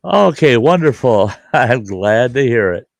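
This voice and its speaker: An older man hosting a casual live stream, hoarse today, talking in a halting, rambling way with many fillers. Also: mid-range voice, very animated, no room sound. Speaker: livestream host.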